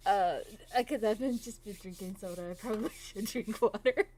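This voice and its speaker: A woman's voice: mid-range voice, brisk, a little mellow, slight background noise. A woman livestreamer chatting casually to her game stream, giggly and rambling, often repeating her phrases.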